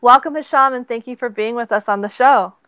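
Smooth-voiced woman hosting an interview. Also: fairly high pitch, brisk, no room sound, muffled recording. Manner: chatty, warm restarts. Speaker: host.

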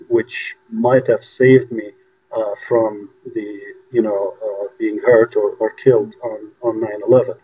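A man from Egypt, a guest on an interview show, talking by phone in a halting way with many fillers. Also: on the low side, husky. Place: home in New York. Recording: dull lo-fi phone line.

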